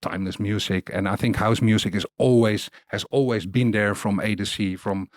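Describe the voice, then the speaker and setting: A man's voice: on the low side, steady tone, moderate pace, no echo. A middle-aged man from the Netherlands with an Amsterdam accent, chatting casually as a DJ guest, rambling with many fillers and easy laughs. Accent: Dutch Amsterdam. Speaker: DJ and producer guest. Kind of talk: casual interview chat.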